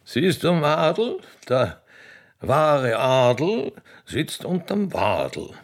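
Man playing a playful, slow old Austrian emperor. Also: fairly high pitch, sing-song, pitched above the performer's own voice, exaggerated voice. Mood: flirtatious. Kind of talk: comic radio play acting.

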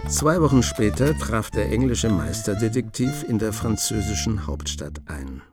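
Ein Mann erzählt ruhig und gemessen die Handlung eines Hörspiels. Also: male voice, fairly low pitch, music in the background. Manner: calm, measured storytelling. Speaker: narrator. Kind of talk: scripted narration.

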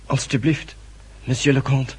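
An actor playing a formal military officer of historical France in a radio drama, with an exclamatory way of talking. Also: male voice, medium pitch, flat delivery, unhurried, lightly acted. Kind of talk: period radio drama acting. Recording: noisy old radio drama recording.

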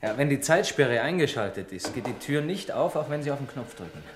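Man playing a young bank employee, friendly and eager, chatty explainer, radio play, mid-pitched voice, echoing room. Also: lively intonation, brisk, noisy background, natural voice. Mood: explaining. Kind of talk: German radio play acting. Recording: echoey room.

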